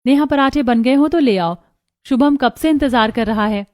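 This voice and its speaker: A woman's voice: high voice, moderate pace, a little mellow, no room sound, studio quality, natural voice. A woman playing an older, practical and bossy household employer, giving instructions matter-of-factly in a moral story. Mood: instructing. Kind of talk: dramatized Hindi moral story acting.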